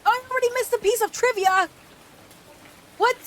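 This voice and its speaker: A woman game streamer doing a casual, expressive let's play, reacting and reading on-screen text aloud. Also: very high-pitched, moderate pace, slight background noise. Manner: expressive, reactive, mild exclamations. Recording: loud rain and game ambience behind. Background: loud rain and game ambience.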